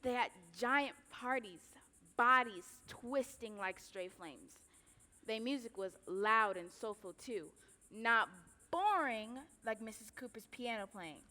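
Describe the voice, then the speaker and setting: Woman acting a bright-voiced, defiant young daughter, echoing hall. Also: fairly high pitch, animated, takes it slowly, full character voice. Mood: wistful, dreamy. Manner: plaintive, dramatic storytelling and arguing. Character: defiant, anxious young enslaved daughter, dreamer. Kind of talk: stage play acting. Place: indoor theatre stage. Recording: echoing theatre hall, filmed live performance.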